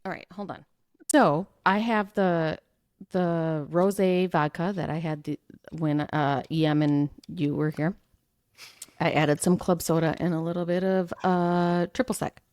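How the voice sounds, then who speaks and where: A woman co-hosting a casual podcast, relaxed and chatty, with lots of "like" as she talks. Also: fairly low pitch, unhurried, no room sound, studio quality. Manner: relaxed, chatty, says "like" a lot. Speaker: podcast co-host. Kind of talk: casual chat. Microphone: studio mic with headphones.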